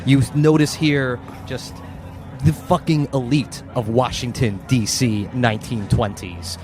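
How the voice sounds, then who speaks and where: A man's voice: medium pitch, unhurried, music in the background. A man working as game master, improvising narration for a tabletop game. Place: room with the players.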